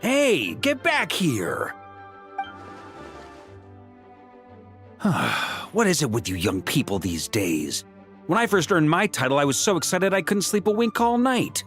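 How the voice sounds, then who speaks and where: An actor playing an avuncular middle-aged knight grand master in a video game, wry and casually authoritative. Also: male voice, fairly high pitch, huge pitch swings, a little slow, a bit bright, faint music behind, heavily acted. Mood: exasperated. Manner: wry, exasperated, conversational authority.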